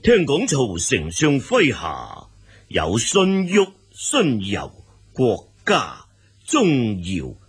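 A man with a Cantonese accent playing a genial but wary older steppe ruler in an audio drama, with a rough husky voice and theatrical drawn-out delivery. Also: medium pitch, wildly swooping pitch, full character voice. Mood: curious. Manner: slow, theatrical, drawn-out exclamations.

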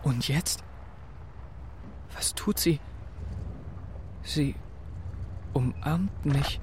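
A man's voice: a little high, extremely slow, noisy background. An actor playing a teenage boy's anxious inner voice in a first-person audio drama monologue. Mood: tense, urgent. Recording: loud music under the voice.

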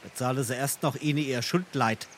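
A man's voice: medium pitch, fairly quick, a little hiss or hum, close to an everyday voice. An actor playing a naive, hot-headed young journeyman in love, earnest and blustery, in Darmstadt Hessian dialect comedy. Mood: hot-headed defiance. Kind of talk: dialect stage comedy acting.

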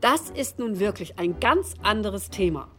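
A woman with a medium-pitched voice co-narrates a radio documentary. Her scripted commentary comes as part of a dialogue, set over music. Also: big pitch swings, a little slow, pushed and bright.

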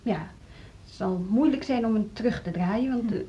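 An actress playing a principled, polite but firm music teacher in a Dutch radio drama, hesitant yet insistent. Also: female voice, on the high side, moderate pace, dark tone, slightly rough, muffled recording. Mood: reluctant, firm.

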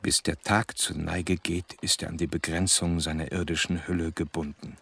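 A man playing a serious, educated diarist in a German radio drama, narrating in measured, clipped entries. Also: deep voice, flat delivery, gravelly, a bit bright, a little hiss or hum. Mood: sober, tense. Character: sober educated man narrating his diary.

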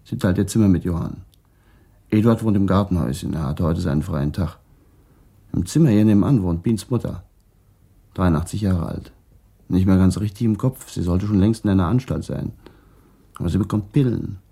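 A man's voice: sits low, moderate pace, creaky, pauses often, warm tone, breathy edge, exaggerated voice. An actor playing a matter-of-fact male house resident answering police questions in a radio crime drama, plain and informative. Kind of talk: 1980s German radio crime drama dialogue.

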